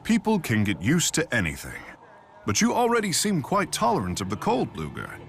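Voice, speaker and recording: An actor playing a stoic, proud exiled king in a video game, speaking in a formal, measured, deadpan manner. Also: creaky, full character voice. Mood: composed. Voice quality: deep. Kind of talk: scripted game dialogue. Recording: game music behind the voice.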